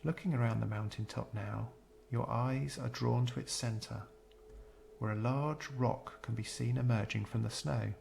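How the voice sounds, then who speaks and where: A man guides a meditation in a gentle, measured delivery. His mid-pitched voice has a noticeable fry to it, and he leaves soothing pauses between scripted phrases. Noise is clearly audible behind him. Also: fairly even intonation.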